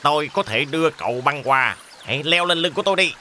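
A man's voice: on the high side, expressive, quick pace, pushed and bright, a little hiss or hum. A man voicing a sly fox in a children's cartoon, coaxing and falsely friendly. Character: sly male fox coaxing his prey.

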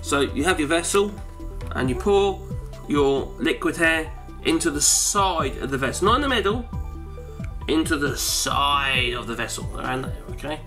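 Wheezy guy in his 40s chatting thru a home demo, bit high voice, music going under it. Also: lively intonation, normal speed, bright, forceful tone. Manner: rambling, chatty, self-correcting. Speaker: home video maker. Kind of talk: casual how-to demonstration. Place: cluttered home study, indoors.

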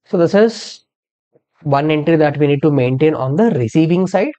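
A software instructor narrating a step-by-step tutorial in an explanatory way, posing questions and then answering them. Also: mid-range voice, lively intonation, normal speed, lo-fi sound. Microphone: screen-recording voice-over.

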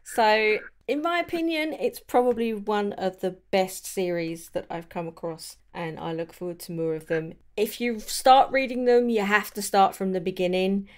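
Woman, mid-pitched voice, chatty, enthusiastic, casual book discussion. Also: normal speed, few pauses. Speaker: podcast host.

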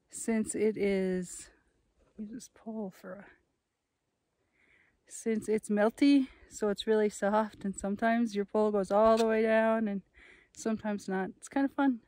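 A chatty middle-aged woman from Minnesota talks into a phone at arm's length, rambling and amused at herself. Her voice sits a little high for a woman. She sounds relaxed and unscripted, like a travel vlogger thinking aloud. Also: no room sound. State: out of breath at altitude. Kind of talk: casual vlog to camera. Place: in a car, then outdoors on snowy ski trails. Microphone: phone held at arm's length.

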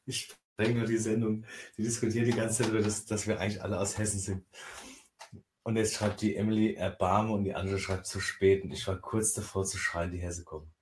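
A teasing man in his thirties reads viewer comments aloud and trades jokes with his co-host. His voice is very deep, with the echo of a home room and a faint hiss behind it. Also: fairly even intonation, moderate pace, a little mellow. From Lower Franconia. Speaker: co-host reading live chat. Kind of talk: joking live-stream banter. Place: home craft room, indoors. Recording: roomy echo, faint noise.

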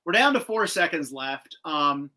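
A man hosting a casual video-call chat, recapping a cartoon episode in an animated, slangy, sometimes profane way. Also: on the high side, a little slow. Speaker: host. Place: indoor room with shelves of collectibles, on a video call.

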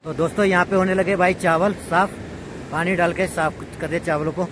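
A man narrates a casual camping vlog in a fairly high voice. He talks informally, as if to friends. Clear river noise runs behind him in the open air. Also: fairly even intonation. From India. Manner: chatty, informal, addresses viewers as friends. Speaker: vlog host. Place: outdoors at a riverside campsite. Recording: noisy outdoor recording, rushing river. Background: loud ambient river noise.

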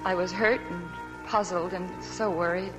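A woman's voice: on the low side, fairly even intonation, normal speed, close to an everyday voice. An actress playing an anxious young wife who pleads earnestly and narrates her story in flashback, in a 1940s radio drama. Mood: narrating. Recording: old radio broadcast, long reverb, faint music.

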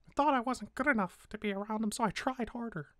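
Small forgotten flower creature, voiced by a woman: high voice, bitter, wounded, hesitant, roleplay drama, dry close recording. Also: fast talker, fairly bright tone, no room sound, natural voice. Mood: wistful, sad.

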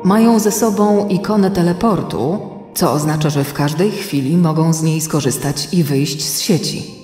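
A woman playing a calm, watchful artificial intelligence mentor in a children's radio play, serious and deliberate, giving warnings. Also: sits low, close to an everyday voice. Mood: grave. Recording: echoey long reverb, music underneath.

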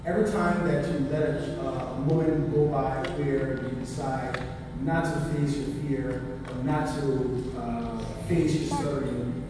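A man's voice: mid-range voice, steady tone. A man who stutters speaking at a podium in a large conference room, recorded from far off. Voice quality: stutters, with pauses and repeated words. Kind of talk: personal talk at a podium. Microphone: podium mic heard from a far camera. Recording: roomy hall echo from far off, noise.

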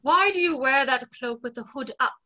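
Casting: disillusioned young lady, played by a woman, high clear-edged bright voice, formal reproach, slightly muffled call audio. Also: moderate pace. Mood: guarded, questioning. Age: 20s. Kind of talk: stage play read aloud over video call. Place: small plain room at home, on a video call. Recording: dull, lo-fi video call audio.

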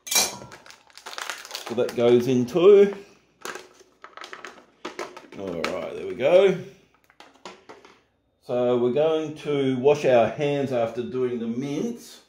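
A man's voice: medium pitch, reverberant, slight background noise. A middle-aged man hosting a casual home cooking tutorial in his kitchen, chatty and joking at himself. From Australia. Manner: relaxed, chatty, jokes at himself.

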